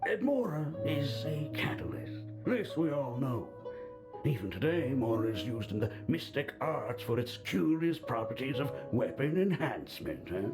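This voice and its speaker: A pompous, boastful historian. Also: male voice, medium pitch, very animated, moderate pace, music in the background. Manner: pompous, grandstanding, touchy. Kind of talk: video game voice acting.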